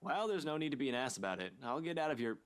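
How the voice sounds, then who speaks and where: A man's voice: a little high, big pitch swings, racing, background noise. An actor playing a hot-tempered, worried young man with a blunt, urgent manner in a video game.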